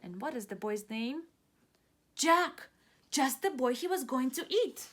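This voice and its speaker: A woman's voice: high-pitched, animated, measured pace, heavy background noise. A woman teacher doing a children's read-aloud, asking simple, encouraging questions of young listeners. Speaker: preschool teacher reading aloud.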